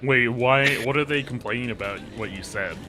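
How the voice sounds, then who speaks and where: A man's voice: medium pitch, normal speed, over music. A man co-hosting a gameplay stream, talking bluntly and animatedly, swearing casually.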